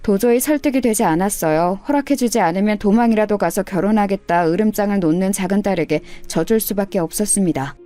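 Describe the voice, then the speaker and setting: A woman's voice: medium pitch, quick pace, hardly any pauses, dark tone, faint music behind. A woman reading a scripted first-person life story in a radio story style. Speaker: storyteller narrator.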